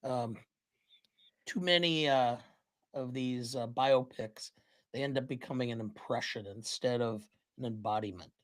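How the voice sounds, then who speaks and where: Podcast co-host, slow and halting, fills pauses with ums and uhs. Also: mid-range voice. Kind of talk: casual chat.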